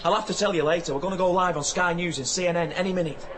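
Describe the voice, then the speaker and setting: Man acting excitable showman, eager, matey, radio drama, echoey, distant from mic. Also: high-pitched, racing, natural voice. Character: excitable, chatty event coordinator and showman. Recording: echoey, distant, noisy crowd behind.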